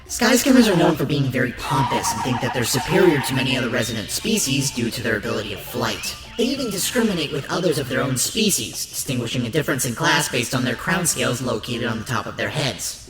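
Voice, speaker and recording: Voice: medium pitch, big pitch swings, quick pace, few pauses. A narrator presenting a scripted documentary-style piece in an emphatic presenter's delivery. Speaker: narrator and show host. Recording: roomy echo under loud music. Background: loud background music.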